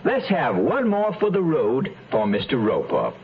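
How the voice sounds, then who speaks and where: An actor playing a sadistic, mockingly polite doctor who interrogates with deliberate taunts in a radio crime drama. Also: male voice, mid-range voice, wildly swooping pitch. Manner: taunting, deliberate, mock-courteous. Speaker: supporting actor. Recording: dull old radio recording under music.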